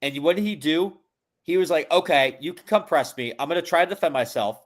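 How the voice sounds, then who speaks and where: A man's voice: on the high side, brisk. A man hosting a casual podcast chat. He rambles, restarts his sentences often and uses many fillers. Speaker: podcast host.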